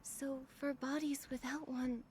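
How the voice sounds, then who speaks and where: A woman voicing a gentle, earnest young adventurer and healer in a video game, soft and breathy in delivery. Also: high voice, flat delivery, a little slow. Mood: gentle concern.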